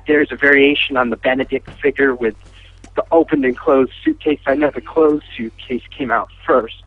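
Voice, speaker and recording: Husky man on a tinny voicemail. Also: medium pitch, no room sound. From United States. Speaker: caller leaving a voicemail. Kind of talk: hesitant casual phone message. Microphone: telephone. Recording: tinny, lo-fi phone voicemail line.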